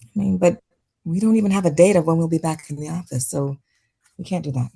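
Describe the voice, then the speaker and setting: A woman's voice: low-pitched, fairly quick, vocal fry, mellow, breathy edge, exaggerated voice. A woman playing a stressed, wary, sardonic doctor in a naturalistic table read of a drama script. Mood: exasperated.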